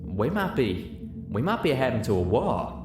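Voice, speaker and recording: A man's voice: mid-range voice, sing-song, moderate pace, echoey room, music in the background, pitched above the performer's own voice, lightly acted. A man voicing a plain-spoken female farm pony with a folksy Southern US drawl, in a story reading. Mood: grave.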